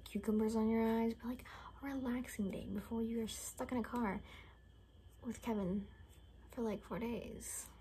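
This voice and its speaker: A young woman in a whispered ASMR roleplay, rambling casually in a soft, breathy, chatty way. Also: fairly high pitch, a little hiss or hum. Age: young adult. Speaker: ASMR creator playing an attendant. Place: small bedroom indoors.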